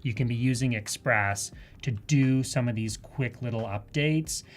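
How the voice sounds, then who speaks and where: A woman with a Canadian accent giving a live software demonstration in a casual, chatty and enthusiastic way. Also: rumbling low, unhurried. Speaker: presenter of a live software tutorial.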